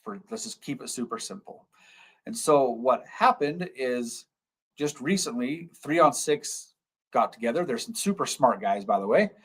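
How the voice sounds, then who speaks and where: A man's voice: medium pitch, animated, moderate pace, a bit bright, husky. A man, a dentist hosting a live stream, explaining in casual chat and repeating key terms to keep things simple.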